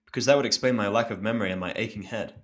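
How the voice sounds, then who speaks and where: A man's voice: on the low side, very fast, a bit bright, natural voice. A man playing an irritable, sarcastic injured patient, blunt and cynical, in a first-person audio drama. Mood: groggy, annoyed.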